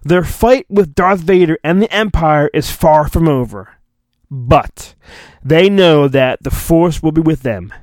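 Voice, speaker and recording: A man hosting a podcast, giving a mock-dramatic storybook reading and breaking into jokey, deadpan asides. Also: fairly high pitch, measured pace, no echo. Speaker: podcast host.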